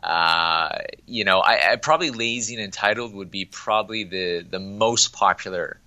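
A young man with a deep, forceful voice, recorded dry and close. He talks business in a brisk, chatty way, tripping over restarts as ideas pile up. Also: dry recording. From Toronto, Canada. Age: young adult. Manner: quick, chatty, many restarts. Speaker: marketing agency president. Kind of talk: casual interview talk. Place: remote interview, Toronto office.

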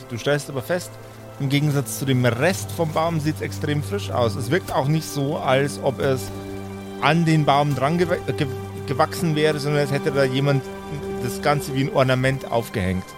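A man running a tabletop role-playing game, improvising narration in a halting, searching way and chatting casually. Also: mid-range voice. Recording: music and rain sounds behind. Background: music, rain.

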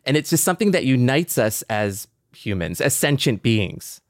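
A man on a podcast panel talking casually and thoughtfully, building his point haltingly in pieces. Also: medium pitch, sing-song, dry recording, clean studio sound.

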